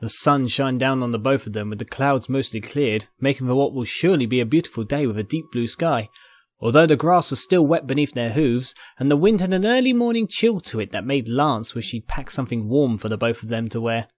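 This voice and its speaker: A man's voice: medium pitch, brisk. A man reading a story aloud as a scripted, dramatic narration. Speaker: narrator, fan fiction reader.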